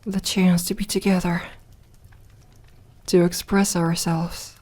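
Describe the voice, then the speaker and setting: Slow, tender vampire girl, acted by a woman. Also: lowish voice, level tone, darkish tone. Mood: tender, devoted. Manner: hesitant, halting, intimate, sometimes dropping to a whisper. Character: lonely lovestruck vampire girl, tender and anxious. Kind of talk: ASMR audio roleplay.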